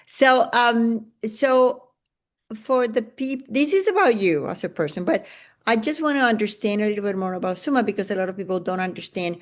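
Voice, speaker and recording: A woman's voice: fairly high pitch, moderate pace. Gracious woman podcast host. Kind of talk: podcast intro and interviewing.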